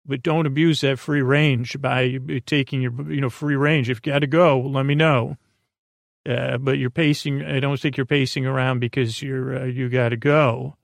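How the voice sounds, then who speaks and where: A man with a creaky voice hosting a bedtime podcast, rambling in a halting, soothing way full of tangents and trailing thoughts. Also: mid-range voice, dry recording, clean studio sound. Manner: soothing, lulling. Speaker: podcast host.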